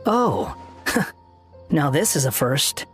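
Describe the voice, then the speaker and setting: Aloof male loner for a game fan dub , man performer: dry, curt, lightish pitch. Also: very animated, extremely slow, soft background music, full character voice. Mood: dry, dismissive. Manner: dry, dismissive. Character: aloof, dry, solitary young male scholar. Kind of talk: amateur fan voice-over of game dialogue.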